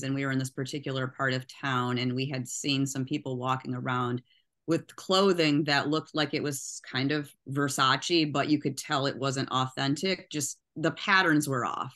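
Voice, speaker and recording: A woman's voice: extremely low pitch, steady tone, normal speed, sharp tone. A middle-aged poet from the US Midwest with a Midwestern accent, talking in a chatty way about her poems and often asking "right?". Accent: Midwestern American. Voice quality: nasal. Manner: chatty, tags lines with "right?". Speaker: poet, guest. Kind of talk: casual interview chat.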